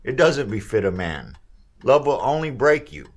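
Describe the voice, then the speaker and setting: A man's voice: medium pitch, big pitch swings, normal speed. A man playing a stern, commanding gang boss in an audio drama, with a folksy, domineering way of talking.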